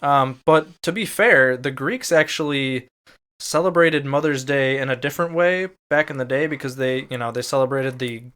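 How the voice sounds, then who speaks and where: A man's voice: mid-range voice, moderate pace, fairly bright tone, clean tone. A man talking casually as a podcast co-host, informal and hedging as he explains things. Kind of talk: casual chat.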